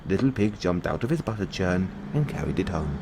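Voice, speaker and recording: A man reading bedtime fairy tales as an audio roleplay, in measured storybook narration. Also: deep voice, sing-song, vocal fry, heavy background noise.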